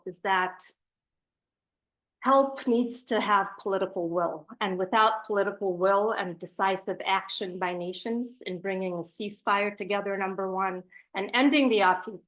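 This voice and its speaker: A woman's voice: medium pitch, steady tone, normal speed, fairly bright tone, low-quality recording. A woman speaking as a charity representative on a panel, giving a prepared presentation with asides in an earnest, emphatic way.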